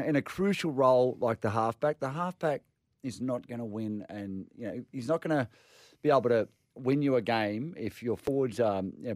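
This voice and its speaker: A man's voice: lowish voice, a little mellow, no room sound, clean studio sound. An Australian man in his forties co-hosting a casual sports chat, stopping and restarting his sentences often. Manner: halting, repeats words when starting sentences. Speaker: co-host.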